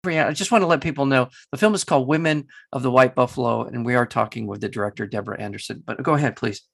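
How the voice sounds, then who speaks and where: A man hosts an interview, speaking passionately and haltingly, often restarting his thoughts. His voice has a gravelly, creaky texture at a mid pitch. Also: a bit bright. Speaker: interview host. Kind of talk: interview conversation.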